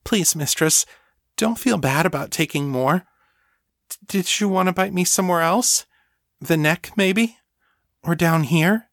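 A man voicing a timid, submissive half-elf servant woman in an audiobook, hesitant and pleading in a soft voice. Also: on the high side, a little slow, gravelly, no echo, studio quality, voice pushed up, full character voice. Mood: anxious, coaxing. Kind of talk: audiobook character acting.

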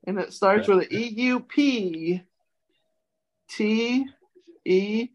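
Female co-host for a chatty quiz segment, measured pace, lo-fi sound. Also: medium pitch, a crowd in the background. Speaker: co-host presenting a word segment. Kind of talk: casual chat, word quiz. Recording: dull lo-fi sound.

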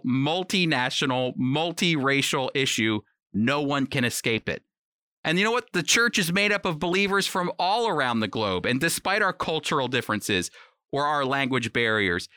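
A man's voice: mid-range voice, bright, forceful tone, clear, resonant tone, no room sound, clean studio sound. A man giving a conversational Bible teaching for a program, speaking emphatically and directly to the listener with rhetorical questions. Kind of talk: conversational teaching sermon, prepared radio talk.

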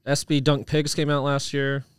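A man's voice: a little high, monotone, normal speed, clear, resonant tone, no room sound, clean studio sound. A man chatting casually as a panelist on a podcast. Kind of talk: casual chat.

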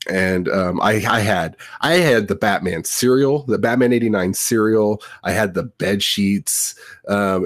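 A man's voice: lowish voice, no echo. A man in his thirties telling personal stories casually as a podcast host, in a rambling, enthusiastic way. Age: 30s.

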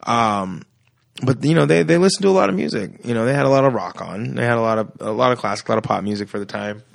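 A man in his thirties, a musician guest on a podcast, telling stories in a casual, animated way and acting out the voices of people he quotes. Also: medium pitch, quick pace, vocal fry, no room sound, studio quality. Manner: animated storyteller who acts out quoted voices. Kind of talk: casual chat.

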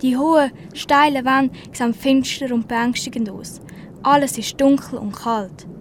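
A woman's voice: high-pitched, a little slow, a little hiss or hum. A teenage girl reading scripted story narration for an amateur radio play.

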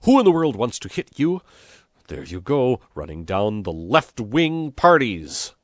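A man voicing a hot-headed, blustering village mayor in a storybook, loud, exasperated and given to oratory. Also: deep voice, sing-song, moderate pace, no room sound, studio quality, heavily acted.

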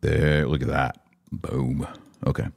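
A man's voice: extremely low pitch, level tone, slow, vocal fry, darkish tone. A man hosting a live podcast, reading listener comments and giving informal, opinionated, emphatic commentary. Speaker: podcast host.

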